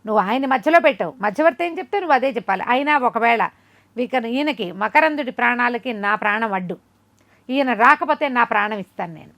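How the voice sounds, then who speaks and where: An indignant young warrior king from a folk tale, voiced by a woman storyteller. The delivery is scolding and emphatic, full of pointed questions, in a fairly high voice. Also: lively intonation, brisk, fairly bright tone. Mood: firm, defiant. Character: indignant young warrior king defending his revered teacher.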